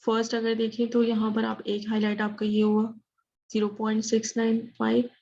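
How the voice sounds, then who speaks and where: A female teacher leads an interactive lesson with little pitch movement. She keeps putting questions and checking that people follow, switching into English phrases. The audio is slightly dull and lo-fi. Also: completely flat delivery, fairly quick, gravelly, warm tone, slight background noise. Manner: questioning, checks understanding often; mixes English phrases into speech. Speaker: statistics teacher. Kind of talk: interactive online math lesson.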